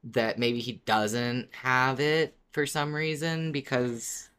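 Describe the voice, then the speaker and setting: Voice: mid-range voice, flat delivery, takes it slowly, a loud crowd. A podcast co-host discussing fan theories casually, reasoning conversationally with many qualifications.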